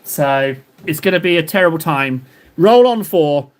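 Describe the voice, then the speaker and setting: A man with a fairly high voice chats casually on a live stream as he paints. He rambles, cracks self-deprecating jokes and answers his viewers in a loose, playful way. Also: lively intonation, a little slow. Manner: rambling, jokey, banters with chat.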